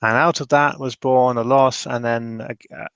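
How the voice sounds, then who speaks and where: Man playing a guarded, halting, bitter man. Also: mid-range voice, fairly even intonation, fairly bright tone, smooth, dry recording, muffled recording. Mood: bitter, pleading. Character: reluctant, troubled man named Edwin, guarded and bitter.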